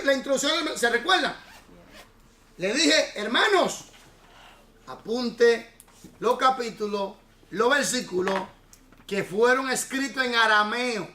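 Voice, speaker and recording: A man's voice: very high-pitched, pushed and bright. A man who is a pastor leading a Bible study class, explaining at length and putting questions to his students. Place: church classroom. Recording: echoey room.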